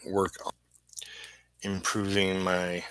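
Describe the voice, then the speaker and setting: Low male voice, unhurried, halting, muttering, apologetic, casual livestream chat, dry close sound. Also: monotone, fairly bright tone, clean tone, no room sound, poor audio. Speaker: comic book inker livestreaming his work.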